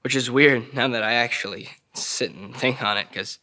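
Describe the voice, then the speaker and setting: A defiant teenage boy in trouble with the law played by a man, sarcastic and mouthy, swearing freely in slang. His voice is bright and forceful at a middling pitch, in a crime drama read. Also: moderate pace, close to an everyday voice. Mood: bitterly sardonic.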